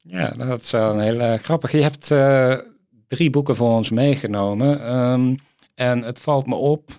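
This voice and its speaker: A male interviewer with a noticeably creaky voice, recorded very dry. He asks questions conversationally, feeling his way through them with hesitant phrasing. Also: mid-range voice, normal speed, a little mellow, dry recording.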